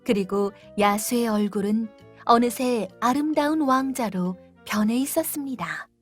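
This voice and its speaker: A woman narrating a children's fairytale in a clear, gentle read-aloud manner. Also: a little high, a little slow, soft background music. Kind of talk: scripted storybook narration.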